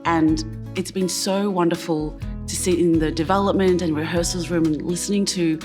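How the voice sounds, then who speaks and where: A woman giving a sincere, slightly halting personal reflection in an interview. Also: on the low side, fairly even intonation, over music. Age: adult. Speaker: theatre team member.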